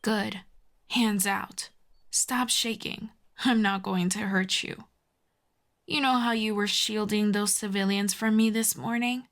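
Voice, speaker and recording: Female villain played by a woman, telepath, intimate audio drama. Unhurried, dry, articulate, commanding with grudging tenderness, mid-pitched, slipping toward half-whispers. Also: close to an everyday voice. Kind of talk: roleplay ASMR audio drama, close and intimate.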